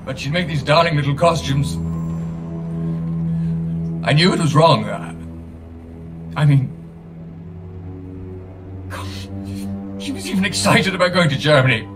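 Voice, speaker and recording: An actor playing a British TV presenter, theatrical and hesitant, rambling through cheer that cracks into guilt and despair. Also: male voice, a little high, lively intonation, slow, long pauses, far from the mic, over music. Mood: wistful, guilty. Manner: hesitant, theatrical, full of asides. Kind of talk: rambling broadcast monologue, acted.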